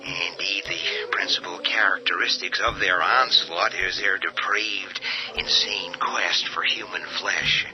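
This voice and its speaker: A man playing a president addressing the nation over the radio in a radio drama, hushed and hesitant, with many pauses. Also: deep voice, fairly even intonation, heavily acted. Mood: grave. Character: halting, grave male president addressing the nation. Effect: radio broadcast sound. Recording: dull lo-fi audio with faint music.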